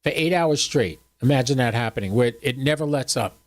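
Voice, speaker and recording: A man's voice: medium pitch, brisk. A man in his seventies hosting a livestream, chatting casually with viewers in a folksy, joking, rambling way. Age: 70s. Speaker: host of a ham radio livestream.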